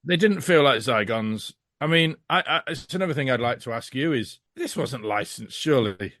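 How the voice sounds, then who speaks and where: A man's voice: mid-range voice, animated, moderate pace, a bit bright, no room sound, clean studio sound. A man speaking as a podcast guest in casual conversation, blunt, opinionated and sweary.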